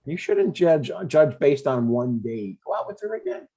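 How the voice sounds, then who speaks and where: A man's voice: medium pitch, expressive, moderate pace, warm tone, husky. A man playing a chatty, stubborn older father in a comedy table read, in a conversational, mildly needling way. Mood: breezy, coaxing.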